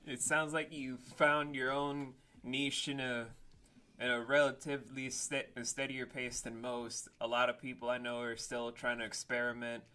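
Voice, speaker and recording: A man's voice: mid-range voice, little pitch movement, normal speed, bright, forceful tone, clear, resonant tone. A man hosting an interview podcast, talking bluntly and joking, acting out little scenes as he goes. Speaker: podcast host. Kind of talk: casual interview chat.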